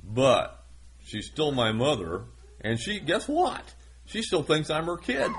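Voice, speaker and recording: A man's voice: a little high, huge pitch swings, slow, fairly bright tone, breathy edge. A man in his sixties preaching a sermon, reading scripture and adding folksy, self-deprecating asides. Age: 60s.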